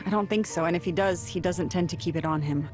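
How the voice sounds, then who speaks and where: A woman's voice: lowish voice, fast, a little mellow, soft background music, close to an everyday voice. An actress playing a caring, anxious but determined woman in conversational game cutscene dialogue. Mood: concerned.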